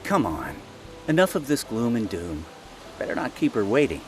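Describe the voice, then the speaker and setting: Man playing protective superhero dad, expressive pitch. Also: moderate pace, faint music behind, natural voice. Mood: gentle. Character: protective superhero father, urgent and defiant. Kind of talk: superhero audio drama acting.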